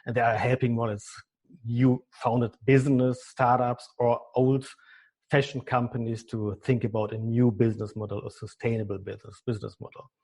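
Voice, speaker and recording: Middle-aged man, German accent, husky voice, halting, repeats words, podcast guest. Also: medium pitch, little pitch movement, a little mellow. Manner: halting, frequent repeated words, non-native phrasing. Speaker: business consultant and coach. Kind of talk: podcast interview.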